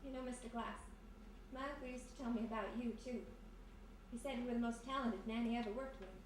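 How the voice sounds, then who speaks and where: A woman playing a bold, quick-witted young lady sleuth in a period radio mystery. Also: fairly high pitch, close to an everyday voice. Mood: wary, defiant. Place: live performance in a historic house. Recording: very echoey live stage, distant, noisy.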